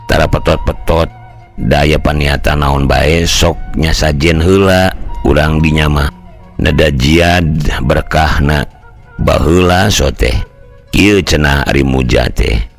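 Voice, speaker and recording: Older sundanese guy telling folk tales, super deep creaky voice, slow, soft music behind. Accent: Sundanese-speaking West Java. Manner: colloquial, conversational. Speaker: storyteller. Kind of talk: traditional folk tale narration with acted dialogue.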